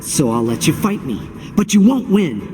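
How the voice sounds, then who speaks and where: A young male game hero, earnest and cocky, with a slightly high pitch. His phrasing is casual and boyish, like a brash athlete. The voice rings in long reverb over clear music. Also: very animated, moderate pace. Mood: determined. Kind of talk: video game cutscene voice acting.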